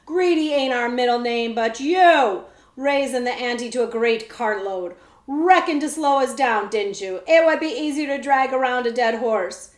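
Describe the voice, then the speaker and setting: A woman's voice: on the high side, expressive, measured pace. A woman reading a children's storybook, voicing a big, angry, greedy male outlaw with a snarling, rustic way of talking. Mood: angry, accusing. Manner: bellowing, snarling, rustic slangy speech. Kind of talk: children's storybook read-aloud. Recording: echoey room.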